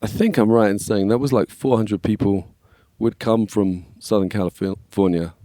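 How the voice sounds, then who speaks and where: Scratchy english guy in his 40s telling stories, low voice, relaxed. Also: normal speed, no echo. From England. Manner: relaxed, storytelling, frequent "yeah, man". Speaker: guest, worship label manager. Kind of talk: casual conversation.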